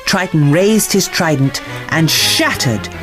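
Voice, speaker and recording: A woman narrating a bedtime story in an expressive, measured and dramatic way. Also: deep voice, music in the background. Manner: dramatic, measured, acts out characters.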